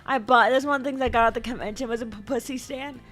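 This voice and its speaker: A woman's voice: steady tone, fast, fairly bright tone. Rambling young woman livestreamer. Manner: rambling, repeats words, sudden squeaky jumps in pitch; stumbles over words. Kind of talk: casual stream chat. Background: faint background music.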